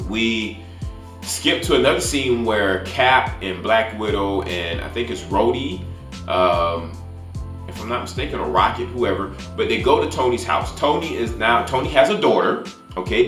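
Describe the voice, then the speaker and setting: A man's voice: lowish voice, animated, normal speed, a bit bright. A man hosting a casual movie review, retelling things in an informal, conversational way. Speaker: film review channel host. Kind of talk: casual movie recap with spoilers. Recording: roomy echo, faint music behind.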